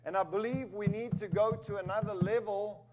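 A man's voice: high voice, steady tone, normal speed, darkish tone. A man from Zimbabwe, raised in Africa, preaching an emphatic sermon with humorous asides. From Zimbabwe, raised in South Africa. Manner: emphatic, rising to stress key words, with humorous asides.

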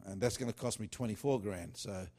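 Lowish voiced guy rambling about his worship songs, lots of uhs and restarts. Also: fairly quick, darkish tone. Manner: loose and chatty, with many fillers and restarts. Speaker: worship singer-songwriter. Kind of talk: casual rambling talk.

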